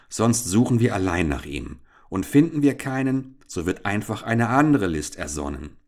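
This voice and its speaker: A man reading aloud and voicing a cool-headed, sardonic teenage boy adventurer in a storybook tale. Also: low voice. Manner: mocking, confident, emphatic.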